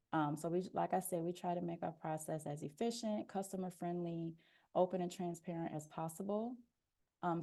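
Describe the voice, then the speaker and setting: A soft-spoken woman presenting an informational webinar as a procurement officer, explaining steadily with frequent "um" fillers, speaking into a headphone mic on a video call. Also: fairly low pitch.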